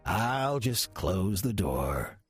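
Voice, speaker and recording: Low, husky, sly demon. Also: male voice, big pitch swings, extremely slow, soft background music, full character voice. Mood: folksy, unruffled. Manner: smooth-talking, wry, calculated. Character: sly demon posing as a friendly small-town churchgoer. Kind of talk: audio drama acting and first-person narration.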